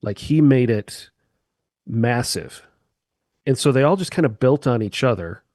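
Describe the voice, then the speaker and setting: A man's voice: medium pitch, expressive, measured pace, darkish tone, dry recording. A man, a musician guest on a chat podcast, explaining eagerly with sweeping comparisons into a close mic.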